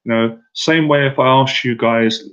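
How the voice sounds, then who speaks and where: A man's voice: medium pitch, steady tone, normal speed, fairly bright tone, muffled recording. Casual live-stream dating coach, a man. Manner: casual and direct, repeats himself, asks viewers to answer in the chat.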